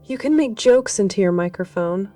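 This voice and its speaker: A woman playing a guarded, nervous village woman in a horror story, speaking quietly and seriously. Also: mid-range voice, lively intonation, moderate pace, distant mic, close to an everyday voice. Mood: quiet warning. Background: music.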